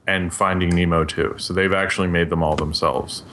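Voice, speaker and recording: A man's voice: low voice, fairly even intonation, moderate pace, fairly bright tone, slight background noise. A man chatting casually on a podcast panel, hesitant and often trailing off mid-thought. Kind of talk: casual panel chat about films.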